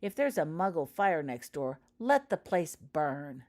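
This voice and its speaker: A woman's voice: low voice, very animated, unhurried, darkish tone. A woman voicing a haughty, callous schoolgirl witch bully with a derisive manner in a storybook reading.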